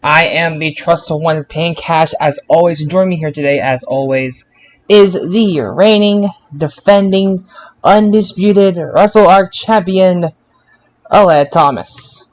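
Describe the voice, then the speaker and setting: A man hosts a podcast in a slightly high voice. He takes his time, with a halting delivery that often doubles back to restart a phrase. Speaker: podcast host. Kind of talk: hosting a wrestling roundup podcast.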